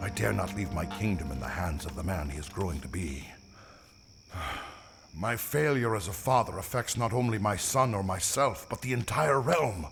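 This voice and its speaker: A man's voice: lowish voice, animated, gravelly, over music. An actor playing a weary, formal king and worried father in an audio drama, speaking in grave, stately phrases. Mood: troubled. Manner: formal, stately, measured archaic speech.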